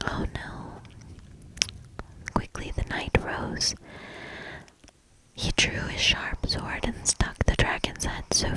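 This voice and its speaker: A woman reading a fairy tale aloud in a breathy, hushed ASMR whisper with a measured delivery. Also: poor audio. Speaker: ASMR storybook reader.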